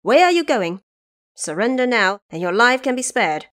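A woman voicing a stern, commanding male warrior god in an audio drama, speaking deliberately. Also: on the high side, very animated, fairly bright tone. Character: stern commanding male divine warrior lord pursuing a foe.

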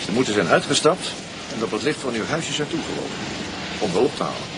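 Over noisy ambience, a weary police detective acted by a man speaks Netherlands Dutch in a medium-pitched voice. He is businesslike and clipped, a sleepless investigator in a naturalistic radio play. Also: sing-song, a little fast, natural voice. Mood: grave, businesslike. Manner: matter-of-fact, clipped questioning. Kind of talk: naturalistic radio drama acting.

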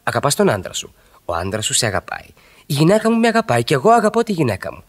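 An actor playing an urbane, teasing married country-house host in Greek radio theatre, wry and provocative. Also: male voice, medium pitch, wildly swooping pitch, slightly rough, exaggerated voice. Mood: expansive, ironic. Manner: wry, provocative, mock-respectful.

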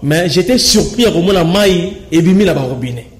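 A man's voice: on the high side, animated, fairly quick. A young man with a Congolese accent, speaking French mixed with Lingala as a guest in a TV studio, gesturing and often restarting his sentences. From Kinshasa, Congo. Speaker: studio guest, local resident. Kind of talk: studio interview, animated talk. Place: TV studio with screen backdrop.

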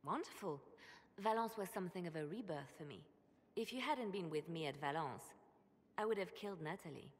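A woman acting an earnest, conscience-torn spymaster with a measured, solemn delivery in a video game. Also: low voice, slight background noise. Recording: music behind the voice.

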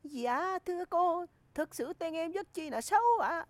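A woman's voice: very high-pitched, unhurried, no room sound. A woman voicing cheeky young schoolchildren in a crude comedy cartoon, with exaggerated delivery. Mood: timid, polite.

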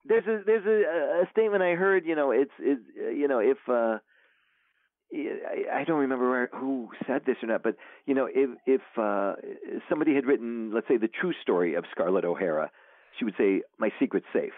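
A man's voice: medium pitch, lively intonation, a little fast, no room sound. A man, an author guest, chatting casually in an interview, rambling with lots of fillers and restarts. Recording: tinny phone line, dry.

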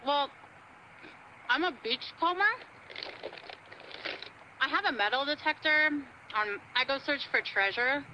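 A female beachcomber phoning a radio show in a game. She sounds high and bright, easygoing and smug, over faint noise. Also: animated, a little slow, pauses often, dull audio, heavily acted. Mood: breezy. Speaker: radio caller. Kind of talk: radio drama acting in a video game.

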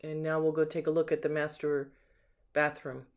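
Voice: mid-range voice, flat delivery, moderate pace, smooth, muffled recording. A home sales agent giving a casual, unscripted walkthrough narration, admiring and describing things item by item, recorded on a handheld camera inside an empty house. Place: indoors, rooms of an empty house.

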